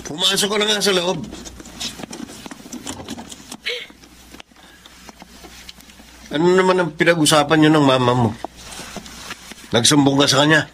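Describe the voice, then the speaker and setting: An actor with a Filipino accent playing an abusive, jealous young husband, taunting and aggressive, in a radio drama. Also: male voice, a little high, fairly even intonation, brisk, fairly bright tone, slight background noise, close to an everyday voice. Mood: suspicious, contemptuous.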